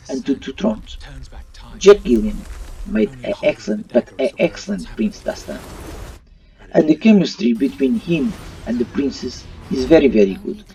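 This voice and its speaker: A man's voice: mid-range voice, warm tone, slight background noise. A man who speaks English as a second language, hosting an opinionated video review with non-native phrasing. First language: not English. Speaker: host of a retro gaming video channel. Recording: distant mic, faint music underneath.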